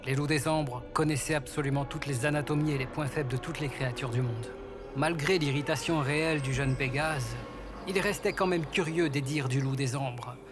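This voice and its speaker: A man's voice: mid-range voice, normal speed. A man reading scripted narration for a French fantasy audio story. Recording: loud music under the voice.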